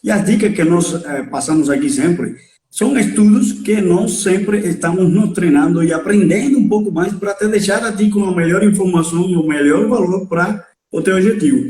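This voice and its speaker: A man from Cuba talks as a guest on a live chat. He speaks in a measured way and hesitates as he starts. His fairly high voice comes through with the echo of a room. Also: warm tone. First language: Spanish. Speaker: guest chemist. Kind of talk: casual live talk. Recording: echoey room.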